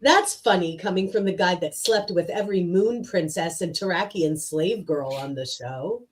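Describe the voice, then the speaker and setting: A woman's voice: lowish voice, normal speed, natural voice. A woman playing a wry, exasperated actress crewmate with a sarcastic delivery in a live script read.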